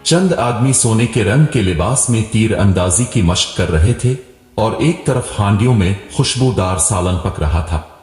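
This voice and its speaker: A man's voice: fairly low pitch, expressive, measured pace, a little mellow. A man narrating a scripted moral story as a storyteller. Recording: roomy echo with long reverb, music behind. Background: music.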